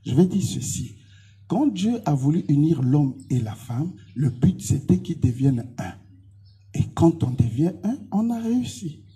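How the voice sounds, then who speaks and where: Congolese male pastor, interviewed; very mellow, breathy-rough tone, declarative and insistent delivery. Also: on the high side, big pitch swings, unhurried. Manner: emphatic, repetitive short declarations. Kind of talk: interview answers with preaching.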